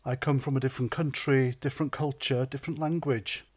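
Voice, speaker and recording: A man's voice: mid-range voice, steady tone, a little fast, slightly rough. Blunt North Welsh man ranting. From North Wales. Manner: blunt, indignant, sweary. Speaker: vlogger, ex-soldier. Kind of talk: opinion rant to camera.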